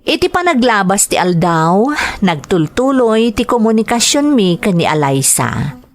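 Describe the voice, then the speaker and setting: Expressive Ilocano woman narrator. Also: mid-range voice, a little slow. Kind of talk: dramatic scripted narration of a letter.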